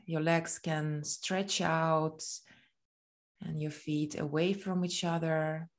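A woman leading a guided body scan meditation in a soothing, gentle manner, leaving long pauses between phrases. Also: low voice.

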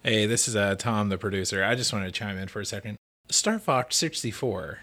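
A man's voice: fairly low pitch, brisk, sharp tone, no room sound. A man speaking as a podcast producer, giving casual remarks and announcements on a recording with noise and clicks. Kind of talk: casual aside and credits announcement. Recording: clearly noisy recording with clicks.

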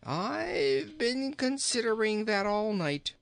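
A man's voice: high-pitched, sing-song, slow, gravelly, pitched above the performer's own voice, exaggerated voice. A man voicing a plump, jolly, pun-loving king in a theatrical storybook manner. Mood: rueful, talkative. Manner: theatrical, exclamatory, chuckling at own jokes.

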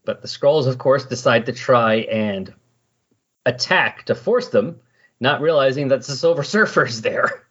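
A man's voice: medium pitch, lively intonation, measured pace, a bit bright, slightly rough. A man hosting a casual, rambling comic-book recap, slipping into paraphrased dialogue as he goes. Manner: animatedly paraphrases characters' dialogue in casual asides. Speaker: podcast host discussing comics. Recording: dull, lo-fi voice recording.

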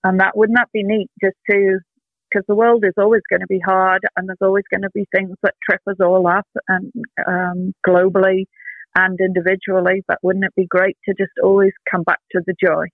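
An older woman artist talking in a casual interview, reflective and earnest, pausing with soft ums, heard over a phone call. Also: mid-range voice, level tone, dry recording. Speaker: artist guest. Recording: tinny dry phone line.

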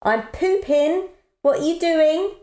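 A woman's voice: extremely high pitch, expressive, slow pace, warm tone, full character voice. A woman playing a busy mother of four in an audio drama, wry, patient and dry in her delivery. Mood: patient, gentle. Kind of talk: naturalistic audio drama dialogue.

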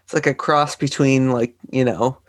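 A man with a slightly hoarse voice chatting casually on a podcast, giving brief, wry, laid-back replies. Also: mid-range voice, steady tone, moderate pace, no room sound, clean studio sound. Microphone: microphone on a boom arm.

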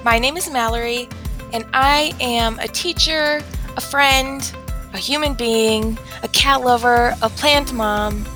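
A woman's voice: a little high, slow, over music. A young woman hosting a casual podcast, upbeat and chatty with frequent fillers. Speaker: podcast host.

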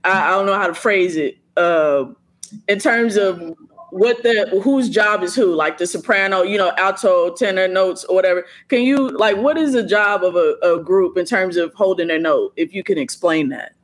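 High-pitched man fumbling for his questions. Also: fairly bright tone. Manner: halting, searching for words, many restarts. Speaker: show co-host. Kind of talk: casual interview questions.

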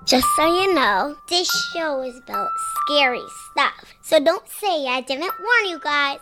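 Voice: sits high, expressive, unhurried. A performer voicing a small child who gives a spooky warning in a scripted promo, with music behind. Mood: eerie, warning.

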